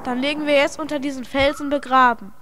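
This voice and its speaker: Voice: high voice, fairly even intonation, fast, bright, forceful tone, slight background noise. A young performer playing a bold space traveller in an amateur children's audio drama. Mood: alert.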